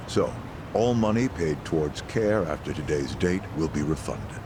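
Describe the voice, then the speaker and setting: An actor in an English game dub playing a courteous, composed official who speaks formally and reassuringly. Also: male voice, very deep voice, animated. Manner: measured, formal. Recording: noisy outdoor urban ambience.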